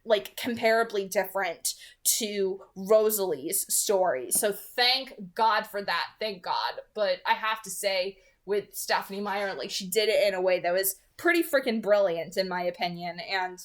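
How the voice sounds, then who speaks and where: A woman's voice: medium pitch, measured pace. A young adult woman giving casual, gushing book commentary, effusive and rambling, repeating herself for emphasis. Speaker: book read-aloud host.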